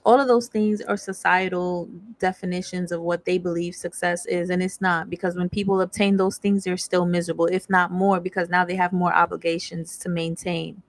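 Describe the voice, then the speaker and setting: Low, gravelly-voiced woman tarot reader. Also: little pitch movement, a little fast, few pauses, no echo. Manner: candid, slangy, reads card text aloud then riffs. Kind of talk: casual channeled tarot reading.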